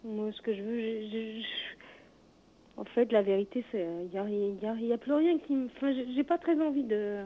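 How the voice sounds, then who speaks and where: Caller, woman, 50s, native Arabic speaker, halting and hesitant, tinny phone audio. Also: on the high side. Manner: hesitant, halting, many restarts. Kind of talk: hesitant personal talk.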